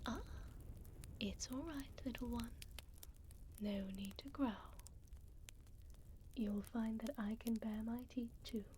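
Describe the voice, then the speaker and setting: A tender, aristocratic vampire duchess, acted by a woman, whispers in a higher feminine voice for an ASMR roleplay. Her breathy delivery is very unhurried and soothing, close on the mic with some background noise. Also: dark tone. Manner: soothing, slow, elegant, tender. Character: gentle, refined vampire duchess tending a wounded puppy. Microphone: close binaural microphone.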